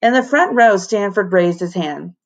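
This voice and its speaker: A woman narrating a children's story as a teacher reading aloud, animated and dramatic. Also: medium pitch, moderate pace, muffled recording.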